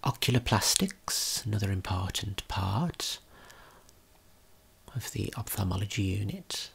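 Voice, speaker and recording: A man narrates a guided tour in ASMR style. His voice is very breathy and whispered, with a creaky edge. He explains gently and takes his time with each phrase. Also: on the low side, expressive, measured pace.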